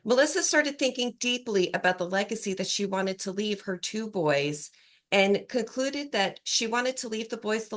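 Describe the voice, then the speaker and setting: An upbeat woman podcast host with a mid-pitched voice and a slight hoarseness from a cold. She speaks with emphatic encouragement, reading a scripted intro before easing into friendly interview chat. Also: fairly bright tone. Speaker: podcast host and empowerment coach.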